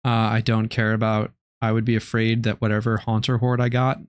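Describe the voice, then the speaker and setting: A young man streaming a game live, chatting casually in a low-key, relaxed way with many ums and restarts. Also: a little fast, smooth, no echo, studio quality. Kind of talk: casual live-stream chat while gaming.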